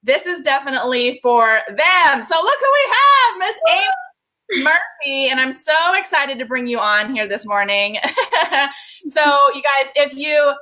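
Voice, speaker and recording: A woman's voice: high voice, sing-song, unhurried, pushed and bright. A woman hosting a live video call interview, talking to her viewers in a bubbly, upbeat way. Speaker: host.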